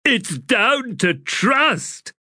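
A man's voice: very high voice, very animated, drawn-out words, bright, forceful tone, breathy edge, dry recording, studio quality, pitched above the performer's own voice, full character voice. A male narrator voicing an odd boy who muses in an offhand way. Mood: musing. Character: odd calm boy with strange wisdom.

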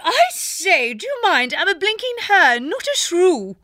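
A man's voice: very high voice, sing-song, a little slow, sharp tone, pitched above the performer's own voice, exaggerated voice. A man voicing a plucky young female hare who talks in a prim, old-fashioned polite way. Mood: indignant.

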